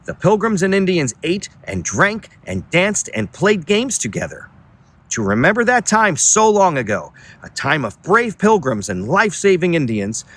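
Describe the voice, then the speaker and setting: A man reading a children's story aloud outdoors in an expressive, dramatic way, with deliberate phrasing and emphatic pauses. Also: high voice. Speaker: children's read-aloud reader, teacher and entertainer. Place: outdoors on a beach.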